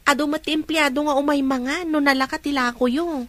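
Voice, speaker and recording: A woman's voice: high-pitched, fairly even intonation, brisk, a bit bright, dry recording. An actress playing an outspoken, eager young woman in a radio drama, with a Filipino Ilocano accent. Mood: friendly.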